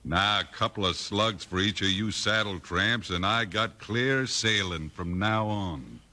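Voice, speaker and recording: An actor playing a boastful, menacing outlaw boss in a radio Western, with a rough American Western drawl. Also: male voice, on the low side, unhurried, lightly acted. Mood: sneering, boastful. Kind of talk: 1950s radio Western acting. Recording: slightly noisy old 1950s radio recording.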